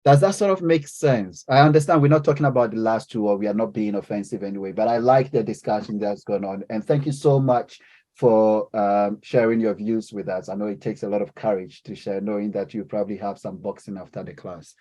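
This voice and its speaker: A man's voice: fairly low pitch, a little fast, dark tone. A man lecturing and leading a class discussion, hesitant, with many fillers and restarts.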